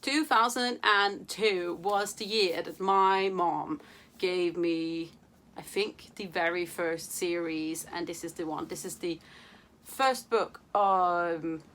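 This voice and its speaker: A woman's voice: fairly low pitch, fairly even intonation, slow pace, fairly bright tone. A young woman from Denmark, chatting to camera about books in a playful, casual way, with many fillers and casual swearing. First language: Danish. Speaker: book vlogger.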